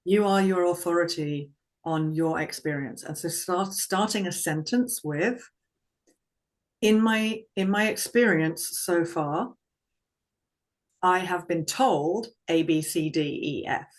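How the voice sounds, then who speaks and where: Low, slow, calm woman voice coach; measured and reflective. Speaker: guest, vocal confidence coach. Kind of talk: conversational interview.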